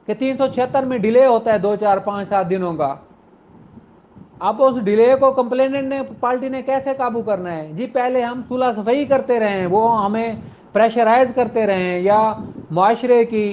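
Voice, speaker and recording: High-pitched Pakistani man lecturing. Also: a little hiss or hum. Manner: didactic, emphatic, repeats key phrases. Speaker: law lecturer. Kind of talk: informal explanatory lecture to students. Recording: dull lo-fi audio.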